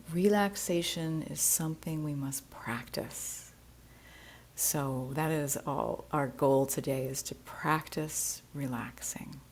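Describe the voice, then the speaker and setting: A woman teaching yoga nidra, leading a guided relaxation meditation in a gentle, soothing, unhurried manner. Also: low-pitched, slight background noise.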